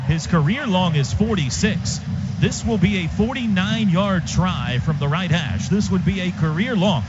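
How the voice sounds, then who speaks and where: A man calling football live on radio as play-by-play announcer, in clipped, urgent phrases, from a stadium booth. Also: high-pitched. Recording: dull radio feed over stadium noise. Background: stadium ambience.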